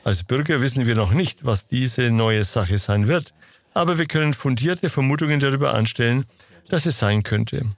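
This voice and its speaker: A man answering interview questions in a steady, lecturing manner. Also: mid-range voice, moderate pace, no echo. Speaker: interview guest.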